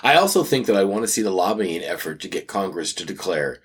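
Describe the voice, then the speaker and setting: A man's voice: low-pitched, expressive, fast talker, a bit bright, breathy edge. A man co-hosting a podcast in casual banter, with a playful, wry, joking manner. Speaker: podcast co-host.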